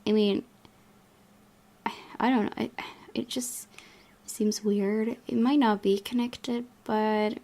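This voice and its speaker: A woman hosting a casual game playthrough, reading and commenting, thinking aloud in a halting way. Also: medium pitch, steady tone, dark tone. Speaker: gaming video host.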